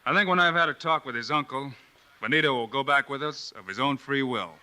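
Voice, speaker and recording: An actor playing a steady, firm young frontier lawman in a 1950s radio western. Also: medium pitch, a little fast, lightly acted. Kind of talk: 1950s radio drama acting. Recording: old radio with hiss.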